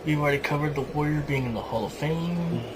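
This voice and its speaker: A man hosting a casual podcast chat, excitable and often repeating his phrases. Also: a little high, fast. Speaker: podcast host. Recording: clearly noisy recording with clicks.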